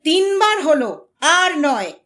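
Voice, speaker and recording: A woman's voice: high-pitched, sing-song, takes it slowly, a bit bright, exaggerated voice. A woman voicing a stubborn, terse village bridegroom in an audio story, curt and defiant. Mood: coldly counting.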